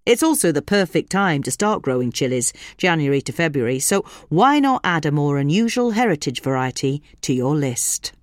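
A woman reading a scripted gardening article aloud in a measured, informative way. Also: sits low, very animated, no echo, studio quality. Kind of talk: scripted narration, read aloud.

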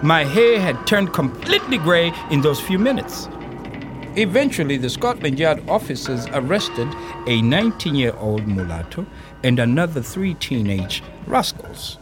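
A proud, tense circus troupe leader in an audio play. He tells his story vividly and urgently, with widely swinging pitch. Music plays beneath his voice. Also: sing-song, normal speed. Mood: agitated. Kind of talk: dramatic first-person monologue in an audio play. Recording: music under the voice.

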